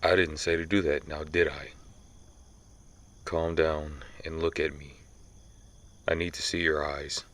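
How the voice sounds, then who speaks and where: A man's voice: extremely low pitch, level tone, a bit bright. A man playing a centuries-old vampire who hunts vampires, stern, commanding and menacing, in a scripted ASMR audio roleplay. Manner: slow, menacing, interrogating.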